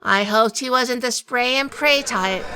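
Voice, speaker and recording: A woman playing a tough, wary noir heroine who narrates her crime story in a crisp, self-possessed way. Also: on the high side, measured pace, lightly acted. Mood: wary, cool. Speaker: narrator and lead actress of audio drama.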